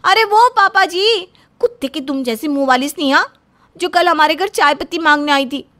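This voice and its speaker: Narrator lady as fed up young wife, whiny high voice, grumbling and demanding, cartoon. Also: moderate pace, a bit bright. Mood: sulky, demanding. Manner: whining, complaining. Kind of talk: Hindi cartoon story acting.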